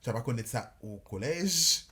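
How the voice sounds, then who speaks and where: A man's voice: mid-range voice, lively intonation, normal speed, bright, forceful tone. A man in his twenties chatting casually as a podcast guest, rambling and slangy, dropping in English words. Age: 20s. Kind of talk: casual chat.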